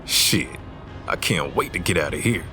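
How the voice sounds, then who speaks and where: A man's voice: rumbling low, expressive, normal speed, pushed and bright, soft background music, full character voice. A young man acting in an audio drama as a casual, level-headed fraternity brother who is restless to move on. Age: young adult.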